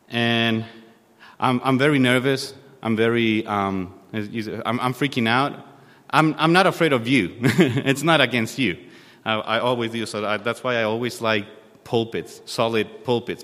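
A man in his thirties from Chile, a native Spanish speaker with a Chilean accent, preaching informally and haltingly with a self-deprecating manner. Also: mid-range voice, fairly bright tone. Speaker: guest preacher. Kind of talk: sermon. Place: church hall. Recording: long hall reverb.

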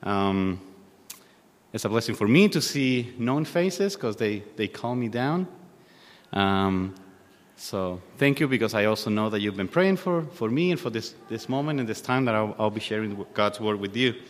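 A man in his thirties from Chile, a native Spanish speaker with a Chilean accent, preaching informally and haltingly with a self-deprecating manner. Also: on the low side, lively intonation. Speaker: guest preacher. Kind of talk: sermon. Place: church hall. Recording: long hall reverb.

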